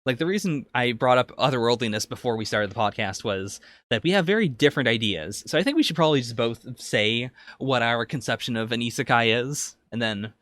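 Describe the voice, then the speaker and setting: A man's voice: medium pitch, animated, fairly quick, hardly any pauses, fairly bright tone, dry recording. A man with a mid-range voice co-hosts a podcast in a dry-sounding recording. He talks casually through a review, often hedging his opinions. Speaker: podcast co-host.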